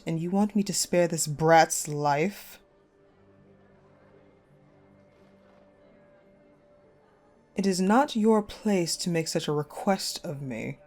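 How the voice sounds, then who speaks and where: A woman playing a cold, possessive ice-queen general in a dark romance audio roleplay, commanding and menacing. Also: lowish voice. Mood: indignant. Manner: commanding, menacing, slow and deliberate, dropping to hushed.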